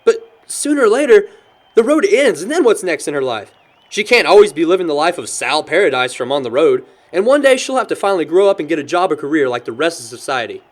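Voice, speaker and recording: A man's voice: on the high side, fast. A young man with an American accent playing a sentimental, good-natured guy in an audio drama. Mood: exasperated. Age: young adult. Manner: chuckles often, animated storyteller. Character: cheerful young man, sentimental but worried about his sister.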